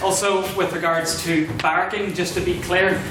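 A man's voice: high-pitched, level tone, moderate pace. A man chairing a university debate, giving polite, hesitant procedural reminders with many fillers. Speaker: society president chairing debate. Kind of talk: procedural announcements. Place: large echoey debating hall. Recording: echoey hall, distant mic, noisy.

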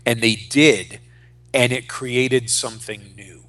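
A man's voice: mid-range voice, steady tone, slow pace, pushed and bright. A man in his forties co-hosting a podcast, chatting casually and emphatically about pop culture, often repeating phrases as he goes. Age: 40s. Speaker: podcast co-host.

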